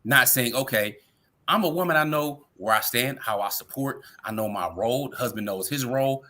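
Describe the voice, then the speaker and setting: A father in a casual discussion, speaking in an opinionated, animated way. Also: mid-range voice, moderate pace.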